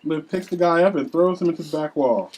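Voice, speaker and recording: A man's voice: on the high side, brisk, a little mellow. A man narrating scene headings and stage directions at a script table read.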